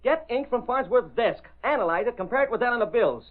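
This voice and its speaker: An eager, brash newshound in a golden-age radio serial. His voice sits high and hits hard with slangy, accusing punch. The recording is muffled and old. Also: lively intonation, quick pace. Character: brash, eager male newspaper reporter, driven and triumphant. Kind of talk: 1930s-40s radio drama acting.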